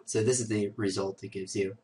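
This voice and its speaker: A man with a raspy, cold-roughened voice, sniffling now and then, hosting a casual screen-recorded software tutorial full of "uh" and "um". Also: fairly low pitch, steady tone, a little fast, recorded from a distance, a little hiss or hum, poor audio. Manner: casual, filler-heavy walkthrough with many "uh" and "um". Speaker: host of a security tutorial show.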